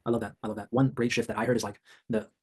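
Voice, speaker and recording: Man host, quick delivery, husky tone, eager and chatty in a group talk. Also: fairly low pitch, little pitch movement, darkish tone. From China. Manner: enthusiastic, says "like" often. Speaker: workshop host. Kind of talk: casual group discussion.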